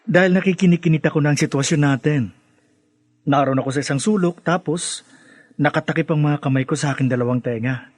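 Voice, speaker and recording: Easygoing young Filipino man, slightly high, acted. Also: a little fast, no echo, lightly acted. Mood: playful, teasing. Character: easygoing, reasonable, teasing young adult son. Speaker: radio drama actor. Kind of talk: Tagalog radio drama acting.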